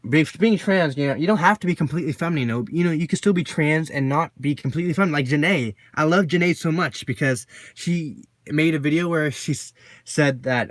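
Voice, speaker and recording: Deep-voiced man rambling in a vlog. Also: no room sound. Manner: rambling, with constant "you know" fillers and repeats. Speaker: vlogger. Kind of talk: casual personal vlog monologue.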